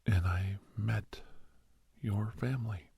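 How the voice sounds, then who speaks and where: Husky, whispering low-voiced kindly older doctor, played by a man. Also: steady tone, no echo, exaggerated voice. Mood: nostalgic. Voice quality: husky, breathy, gravelly whisper-talk. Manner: slow, rambling, gentle, chuckling storyteller. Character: kindly older male doctor, reminiscing and reassuring a youngster. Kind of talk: soft whispered ASMR roleplay monologue.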